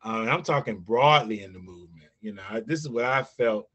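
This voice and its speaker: A man's voice: on the low side, lively intonation, brisk, fairly bright tone, husky, muffled recording. A man speaking earnestly on an online panel, repeating words as he urges his movement toward unity. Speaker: veteran movement leader, panelist.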